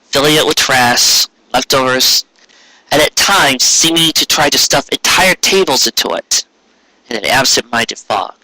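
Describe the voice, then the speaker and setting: A man's voice: mid-range voice, measured pace, sharp tone, dry recording, low-quality recording. A man reading a story aloud in a dramatic narrating style. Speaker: fan fiction reader.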